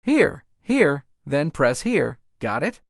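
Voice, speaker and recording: A man playing a gentle, teasing young coffee shop owner in a romance comic dub. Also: a little high, very animated, slow pace, creaky, dry recording, clean studio sound, heavily acted.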